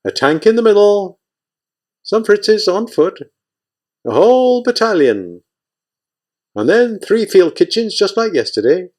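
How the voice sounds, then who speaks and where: A man's voice: sits high, sing-song, measured pace, long pauses, darkish tone, pitched above the performer's own voice. A man voicing a cunning yet simple-hearted young male soldier who reports what he sees in a sing-song voice.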